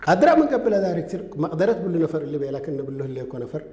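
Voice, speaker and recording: A man answering interview questions in a conversational way, talking quickly and pausing on drawn-out fillers. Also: a little high, sing-song, dark tone. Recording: roomy echo with long reverb.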